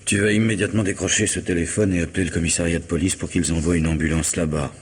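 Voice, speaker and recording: An actor playing a rational, authoritative lawyer friend, measured, articulate and firm, in a French radio mystery drama. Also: male voice, low-pitched, little pitch movement, husky. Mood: commanding.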